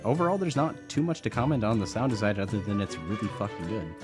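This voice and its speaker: A man's voice: fairly low pitch, quick pace. A man narrating a casual scripted video game review in a sweary, sardonic way. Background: background music.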